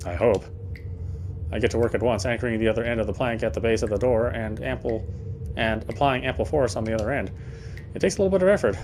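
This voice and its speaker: A man narrates a horror visual novel as he plays, reading dramatically at a quick clip. His voice is medium in pitch, with faint noise and game ambience behind it. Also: a little mellow. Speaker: let's player reading game text aloud.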